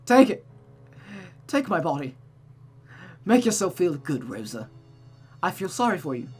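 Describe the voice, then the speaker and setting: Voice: medium pitch, very animated, measured pace, soft background music, voice pushed up. A performer voicing a sadistic, deranged man, cynical and mocking, with taunting, theatrical delivery in a visual novel.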